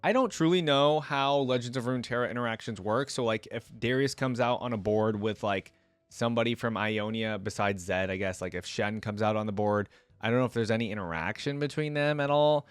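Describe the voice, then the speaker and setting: A man's voice: medium pitch, fairly quick, clean tone, dry recording. Guy doing laid-back reaction commentary, chatty fan host, very dry recording. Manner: easygoing, informal fan chat with frequent asides.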